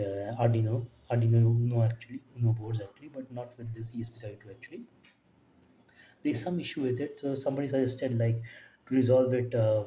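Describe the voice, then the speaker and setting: Dark-voiced halting man in an echoey room. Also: mid-range voice, slight background noise. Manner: halting, often tacking "actually" onto phrases. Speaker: electronics hobbyist presenter. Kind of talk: informal technical demo explanation. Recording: echoey room, distant mic, faint music.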